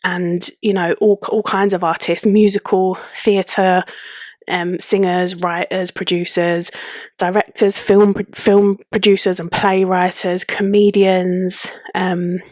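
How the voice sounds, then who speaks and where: A woman's voice: lowish voice, flat delivery, slow, darkish tone, no echo. A young woman with a London accent hosting a podcast, chatting casually in an informal, slangy way. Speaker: podcast host. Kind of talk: casual solo podcast chat.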